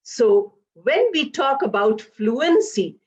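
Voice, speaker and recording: A woman lectures slowly and with care, on a somewhat high voice, leaning on single words as she lays out ideas step by step. The sound is dull and lo-fi, like an online class picked up through a computer mic. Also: big pitch swings. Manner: explains step by step with simple examples, stretches words for emphasis. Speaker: English professor giving a lecture. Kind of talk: slow, deliberate academic lecture.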